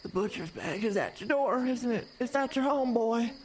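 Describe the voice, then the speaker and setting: A woman's voice: fairly high pitch, animated, moderate pace, lightly acted. A woman doing a creepy old carnival mother. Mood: ominous. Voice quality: folksy rural grammar. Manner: urgent, ominous warnings. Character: old mother of the carnival owner, hiding in the woods. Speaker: voice actor. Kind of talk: horror radio drama.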